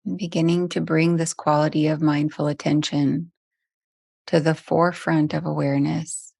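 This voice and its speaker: A woman leading a guided meditation as a teacher, speaking gently and soothingly with long, unhurried pauses. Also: sits low, flat delivery, gravelly, no room sound, studio quality.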